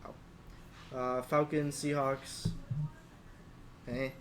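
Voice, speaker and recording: A man's voice: mid-range voice, level tone, very slow, a little hiss or hum. A man co-hosting a sports podcast in informal banter, tossing out short, terse quips.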